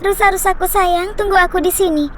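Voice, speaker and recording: A brave young girl from a cartoon fairy tale, speaks in a very high voice. She is earnest and tender-hearted, with expressive storybook delivery. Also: brisk, loud music behind the voice. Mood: hopeful, affectionate.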